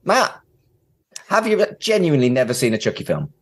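Lively, swooping male podcast host. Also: mid-range voice, fairly quick. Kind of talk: casual podcast chat.

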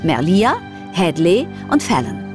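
Lowish, expressive woman storyteller. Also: unhurried. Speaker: narrator. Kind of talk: scripted storybook narration for children. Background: music under the voice.

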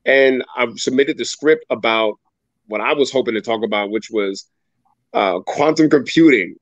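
A man in his thirties telling a personal story as a guest on a video-call interview, animated and dramatic, leaping up in pitch when he acts out other people. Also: moderate pace, no echo. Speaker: guest speaker. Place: home room with ceiling fan, indoors. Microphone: video call webcam. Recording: dull, dry video call audio.

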